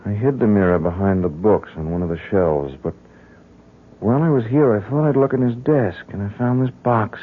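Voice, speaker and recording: A man's voice: lowish voice, moderate pace, close to an everyday voice. An actor playing an earnest, determined man who explains things hesitantly in a 1970s radio drama. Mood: pensive, intent. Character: earnest, determined young man dabbling against occult forces. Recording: muffled, narrow-band 1970s radio recording.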